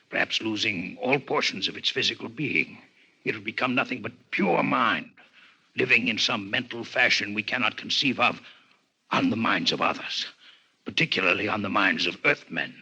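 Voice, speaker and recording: An actor playing a grave, coldly intellectual alien physician in a 1950s radio drama, speaking in formal, measured lectures with a husky voice. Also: male voice, fairly low pitch, bright, forceful tone, heavily acted, low-quality recording. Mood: grave, lecturing. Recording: 1950s radio broadcast recording.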